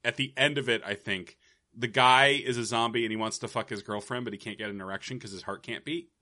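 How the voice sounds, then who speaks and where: A man's voice: mid-range voice, fairly even intonation, fast, sharp tone. A man co-hosting a crude, rambling comedy chat, often giggling. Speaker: podcast co-host.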